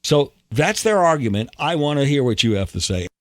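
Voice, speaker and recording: A man's voice: mid-range voice, sing-song, fairly quick, no room sound, clean studio sound. A man hosting a talk radio show, speaking and asking questions in a conversational way.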